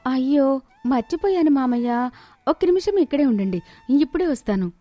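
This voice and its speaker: A woman acting a high, mellow young village daughter. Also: animated, normal speed, dry recording. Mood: feigned humility. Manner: respectful, sweetly reassuring.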